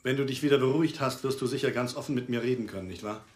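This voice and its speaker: An actor playing a lawyer's familiar, blunt friend in a radio drama, brisk and frank. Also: male voice, mid-range voice, darkish tone, close to an everyday voice. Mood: reproachful. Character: breezy but blunt male friend of the lawyer.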